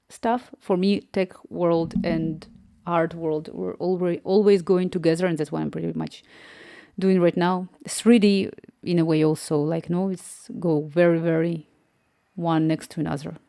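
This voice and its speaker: A woman's voice: lowish voice, creaky, dark tone, no echo, studio quality. A young woman from Ukraine with a Slavic accent, chatting casually on a live stream, laughing often and restarting words as she answers viewers.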